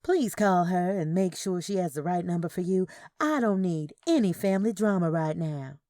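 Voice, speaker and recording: A woman's voice: on the low side, lively intonation, moderate pace, warm tone, dry recording, clean studio sound, lightly acted. A woman voicing a dramatic, whiny, exasperated pregnant sister in an audio story. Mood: defiant, exasperated.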